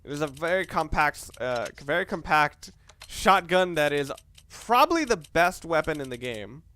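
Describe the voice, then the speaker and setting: A man's voice: on the high side, big pitch swings, moderate pace, bright, forceful tone. A man giving casual, hesitant, stop-start commentary while playing a video game. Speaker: let's play commentator. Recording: clearly noisy home recording.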